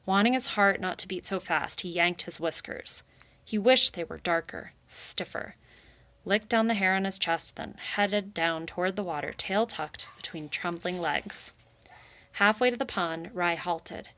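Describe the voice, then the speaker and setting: A woman's voice: on the low side, unhurried, sharp tone. A woman narrating a children's story as she reads it aloud. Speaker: reader.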